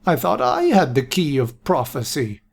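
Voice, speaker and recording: A man voicing a confused, forgetful old male seer with a raspy voice, speaking slowly and repeating himself. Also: medium pitch, animated. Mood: muddled. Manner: slow, vague, repeats himself. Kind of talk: audiobook character voice.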